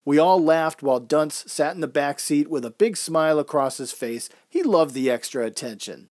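Man narrating, fairly high-pitched voice. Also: expressive, normal speed, darkish tone, no room sound, studio quality. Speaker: storyteller. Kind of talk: scripted first-person narration, old-time radio drama.

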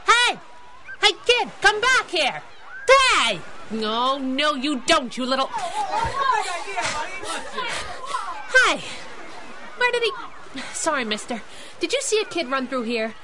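A woman's voice: high-pitched, very animated, a little slow, a crowd in the background, noisy background. A woman playing a plucky, teasing young superhero sidekick in a radio drama, brash and playful. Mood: excited, urgent. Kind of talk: 1930s-set radio superhero drama acting.

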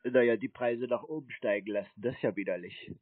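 A man's voice: medium pitch, quick pace, husky, dry recording. A man in an unscripted phone argument, blunt and contrary, throwing accusations at the caller over a phone line. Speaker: scam-baiter answering a cold call. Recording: muffled narrow-band phone call.

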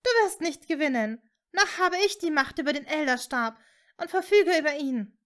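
A woman voicing a cruel, commanding male dark lord who sneers and threatens in a fantasy audiobook. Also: fairly high pitch, a little slow, vocal fry. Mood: sneering.

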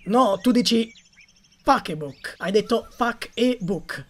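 Man acting an exasperated, high-voiced young guy. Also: lively intonation, slow pace, a bit bright, full character voice. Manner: dramatic, pedantic, whining. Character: exasperated young man losing his temper over a friend's mispronunciation. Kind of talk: amateur comedy sketch dub.

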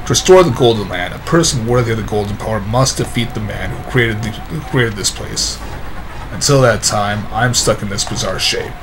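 A man's voice: fairly low pitch, normal speed, noisy background, natural voice. A man voicing a regretful cursed creature in a video game, reading in a subdued, explanatory way. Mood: rueful. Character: regretful cursed creature stuck in bizarre shape. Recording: game music behind the voice.

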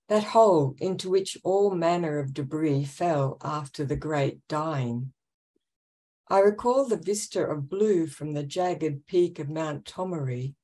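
A woman in her sixties reading poetry in a measured, deliberate way on a video call. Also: deep voice, a little mellow. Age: 60s. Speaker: poet at an open mic. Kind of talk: poetry reading with a short spoken introduction. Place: home room with bookshelves, indoors. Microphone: video call with headset.

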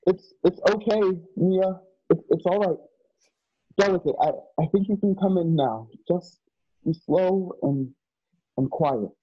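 An earnest young man from a radio drama, acted by a man, speaking in a fairly high, husky voice. He is anxious and hesitant, tripping over and repeating words. The sound is dry and a little dull, like a video call. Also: unhurried, no room sound. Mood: gentle, soothing. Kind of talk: online radio drama acting. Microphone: video call, home setup.

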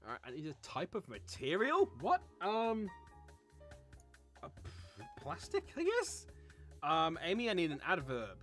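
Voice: medium pitch, wildly swooping pitch, measured pace, long pauses, a bit bright, soft background music. The host of a word game, chatting casually with friends in a giggly, exclaiming, bantering way. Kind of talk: casual joking chat.

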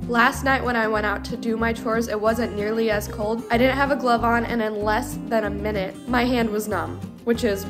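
Young female vlogger, high voice, American accent, casual farm diary talk over music. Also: steady tone, moderate pace, hardly any pauses. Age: teens to young adult. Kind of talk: casual vlog narration. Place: snowy farm outdoors, barns, and a room indoors. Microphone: phone at arm's length.

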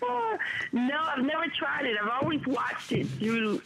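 Raspy excited woman caller on phone. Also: sits high, unhurried, a crowd in the background. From South Carolina. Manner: excited, giggly. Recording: phone line with noise.

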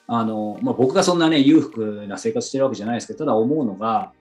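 A man's voice: mid-range voice, very fast, darkish tone. A man chatting casually as a podcast host, explaining things in a rambling way. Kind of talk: casual chat. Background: background music.

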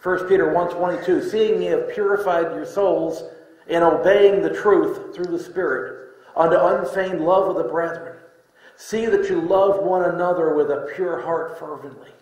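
A middle-aged man preaching a sermon in deliberate short phrases with pauses, reading scripture from a lectern. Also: fairly high pitch, darkish tone. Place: indoor room, speaking from a lectern. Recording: echoey room.

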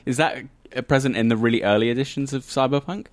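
A man's voice: mid-range voice, quick pace, no echo. Australian man, dry close sound. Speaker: podcast interviewer. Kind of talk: casual chat.

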